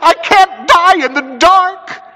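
A man's voice: high voice, wildly swooping pitch, normal speed, a bit bright, long reverb tail, pitched above the performer's own voice, heavily acted. A man voicing a terror-stricken man in a Gothic story, pleading and repeating himself in a chattering, breaking voice. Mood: hysterical terror. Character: terror-stricken man dying of fear in the dark.

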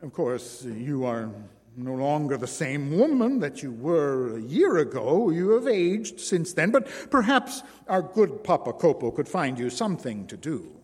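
A man's voice: a little high, sing-song, unhurried, husky, heavily acted. A man voicing a sympathetic male circus performer who speaks earnestly and soothingly. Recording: long reverb.

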